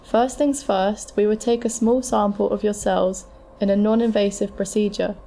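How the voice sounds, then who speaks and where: A woman playing a calm, frank transplant scientist, explaining options in a measured, scripted way for an amateur audio drama. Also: medium pitch, lightly acted. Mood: matter-of-fact. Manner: measured, matter-of-fact, explanatory.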